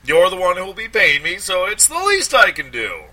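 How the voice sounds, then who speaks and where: A man's voice: high-pitched, huge pitch swings, moderate pace, sharp tone, voice pushed up, exaggerated voice. A man voicing a greedy, fawning middle-aged lawyer who stammers eagerly on the phone, in an audiobook.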